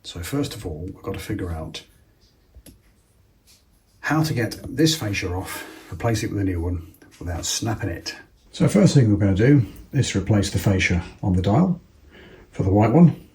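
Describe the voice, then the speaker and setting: Deep-voiced British man giving a hands-on tutorial. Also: animated, moderate pace, pauses often, a little mellow, a little hiss or hum. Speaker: presenter of a product installation tutorial. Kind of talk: casual step-by-step hands-on demonstration.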